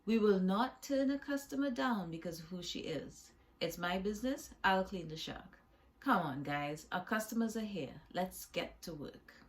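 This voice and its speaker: A woman's voice: mid-range voice, expressive, moderate pace, lightly acted. A woman voicing a small male goby fish in a children's story, an earnest, level-headed boss who talks sensibly and firmly. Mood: resolute.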